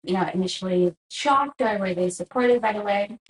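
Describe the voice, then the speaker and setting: A woman at a middle pitch hosts a podcast. She asks her guest questions in a curious, lightly chatty way. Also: a little fast, poor audio. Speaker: podcast host. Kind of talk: casual interview chat.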